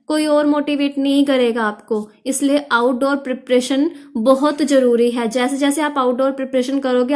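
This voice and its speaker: A young woman teacher giving a video lesson on speaking skills, explaining steadily and stating short tips slowly. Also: sits high. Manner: explains in mixed Hindi and English, reads out English tips slowly. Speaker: IELTS teacher.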